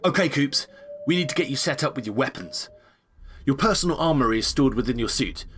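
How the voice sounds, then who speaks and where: A man's voice: mid-range voice, lively intonation, brisk, a bit bright, lightly acted. A man playing a chummy, eager space agent who guides the player as a partner in a sci-fi video game. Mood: businesslike, instructive. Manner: informal, enthusiastic, instructive. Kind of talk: video game tutorial dialogue acting.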